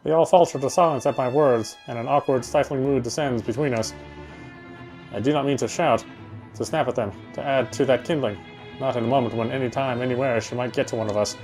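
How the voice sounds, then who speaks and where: Man, mid-range voice, dramatic story reading, brooding, expressive, faint background music. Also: mid-range voice, a little fast, rough recording. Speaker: game player reading story text. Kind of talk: reading game narration aloud, expressive first-person. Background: game music.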